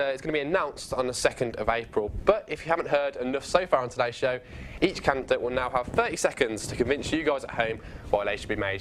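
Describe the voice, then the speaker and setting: Fast-talking young male student interviewer. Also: mid-range voice, fairly bright tone, a little hiss or hum. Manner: upbeat, repeats stock praise.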